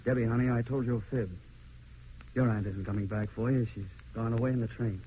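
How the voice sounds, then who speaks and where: An actor playing an earnest, tender husband and adoptive father in an old radio drama, sincere and halting. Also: mid-range voice, steady tone, warm tone, natural voice. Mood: warm, elated. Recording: muffled, noisy old radio recording.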